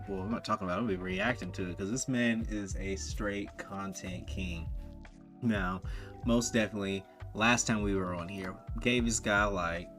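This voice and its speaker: A man's voice: on the low side, a bit bright. A man hosting a reaction video, talking in laid-back, slangy banter. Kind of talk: casual reaction commentary.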